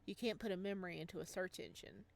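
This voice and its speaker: A woman's voice: fairly low pitch, fast, gravelly, dry recording. A woman voicing a lonely, wistful young male ghost as she reads a visual novel aloud. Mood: wistful, resigned.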